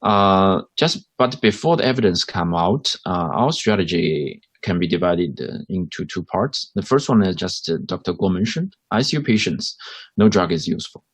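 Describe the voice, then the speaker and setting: A man's voice: low voice, expressive, normal speed, no echo. A man with a Chinese accent, a hospital doctor, gives his views in a panel discussion with many fillers and restarts. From China.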